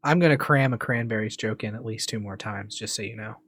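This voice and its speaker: A man's voice: fairly low pitch, fairly quick, fairly bright tone, shouting. A man hosting a podcast, chatting casually and making self-deprecating jokes with his co-host. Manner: self-deprecating, joking banter. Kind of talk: casual chat.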